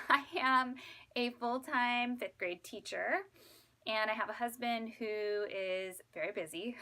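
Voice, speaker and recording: A woman in her thirties chatting casually to viewers in an animated, self-deprecating, exclamatory way, like a vlogger. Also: medium pitch. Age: 30s. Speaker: vlogger and fifth grade teacher. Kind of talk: casual chatty vlog to camera.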